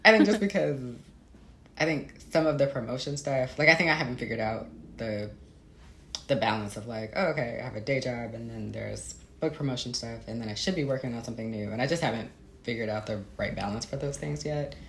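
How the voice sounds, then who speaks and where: Very low, creaky American woman, roomy sound. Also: brisk. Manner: informal, hesitant, laughs often, frequent "like" and "you know". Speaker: author being interviewed. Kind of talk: casual reflective interview talk. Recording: roomy echo, faint background noise.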